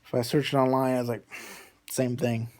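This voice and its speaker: A man in his late twenties talks off the cuff as a vlogger. His pitch is middling and stays fairly flat. He rambles from one thought to the next, often restarting and repeating himself in a casual, conversational style. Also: moderate pace. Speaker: vlogger talking to subscribers.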